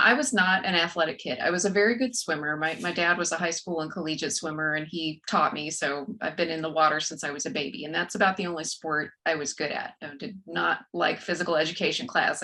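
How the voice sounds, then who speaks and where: A woman's voice: low voice, steady tone, fast, hardly any pauses, pushed and bright. A woman in her forties with a Texan accent, a guest author chatting in a friendly, matter-of-fact way. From West Texas, USA. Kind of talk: casual interview chat.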